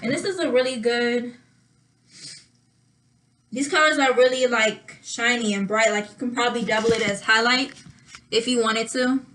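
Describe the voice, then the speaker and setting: Young woman, slightly raspy, bright, a bit high, casual makeup tutorial talk, thinking aloud. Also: low-quality recording. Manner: thinking aloud, drawn-out pauses while applying makeup. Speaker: makeup vlogger.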